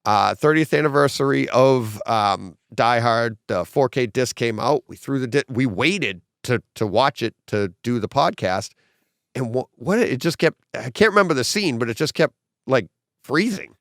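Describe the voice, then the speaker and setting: A middle-aged man hosting a casual home theater podcast with his son. He tells stories animatedly and acts out quotes, and his voice is slightly hoarse. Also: medium pitch, animated, normal speed, a bit bright, no room sound, clean studio sound. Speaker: podcast host.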